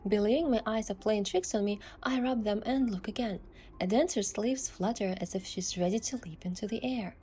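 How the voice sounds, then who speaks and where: A woman's voice: medium pitch, fairly quick, dark tone, slight background noise. A woman giving scripted first-person narration of an adventure story as its protagonist.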